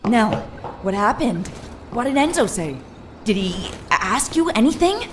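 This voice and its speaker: An actress playing a nosy, exasperated comrade in a video game, animated and teasing. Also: female voice, a little high, measured pace, slight background noise. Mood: curious. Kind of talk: game cutscene dialogue.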